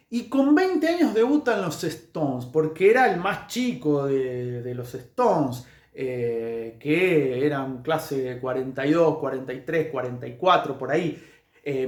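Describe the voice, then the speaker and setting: An Argentine man with a fairly high voice speaks in an echoey room. He chats informally like a music vlogger, haltingly, wandering off track and correcting himself as he goes. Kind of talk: casual informal music history talk to camera.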